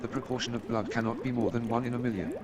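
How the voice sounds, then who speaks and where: A man's voice: medium pitch, little pitch movement, fast talker, over a noisy crowd, lightly acted. A man playing an eager, self-assured amateur detective and chemist in a staged radio play, speaking in an emphatic, pedantic way. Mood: excited, proud. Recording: noisy with watery background sound.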